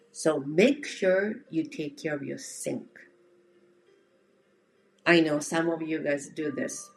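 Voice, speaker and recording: A woman with a Japanese accent coaches listeners in a casual, direct teaching style. Her pitch sits a little low and her pace is slow. She checks in with the listener plainly and encouragingly, over faint background music. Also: a little mellow. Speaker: home organizing coach. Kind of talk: instructional presentation, casual and direct.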